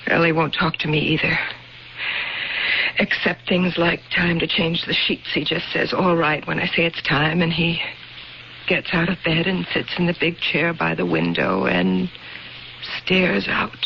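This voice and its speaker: An actress playing a weary, worried middle-aged mother in a radio drama, with a creaky, plaintive voice. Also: lowish voice, unhurried. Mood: worried, resigned. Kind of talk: 1970s radio drama acting. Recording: dull lo-fi old radio, faint noise.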